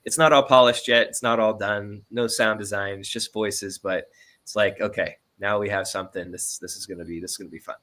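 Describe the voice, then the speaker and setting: Male interview guest, 30s: crisp bright tone, lowish pitch, unpretentious and earnest delivery. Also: steady tone, fairly quick. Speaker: audio drama producer and editor, interview guest. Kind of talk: casual interview chat.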